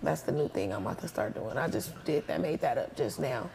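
A woman's voice: extremely low pitch, expressive, brisk, vocal fry. An American woman hosting a casual talk show, brash and playful, with heavy slang and swearing. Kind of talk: casual chat with callers. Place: small studio lounge with couches. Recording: noisy, reverberant studio sound with clicks.

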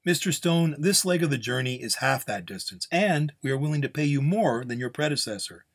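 A man's voice: mid-range voice, lively intonation, fairly quick, voice pushed up, natural voice. A man voicing a polite, timid go-between in a Western story, formal, careful and placating.

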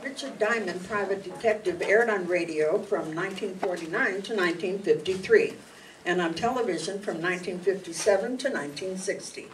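A woman's voice: mid-range voice, fairly quick. An older woman reading a scripted introduction as presenter of a radio play. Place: meeting room stage before an audience. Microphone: handheld microphone, recorded by audience camera. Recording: distant mic, noisy hall.

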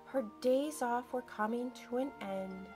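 A woman storyteller reading a children's picture book aloud, with expressive, deliberate emphasis for young listeners. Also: fairly high pitch, music in the background.

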